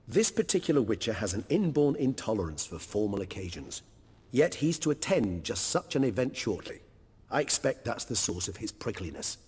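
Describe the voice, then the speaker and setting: An actor playing an erudite, wry gentleman in a fantasy video game, speaking eloquently and philosophically. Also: lowish voice, very animated, moderate pace, husky, in a large hall, full character voice. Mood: wry, placating.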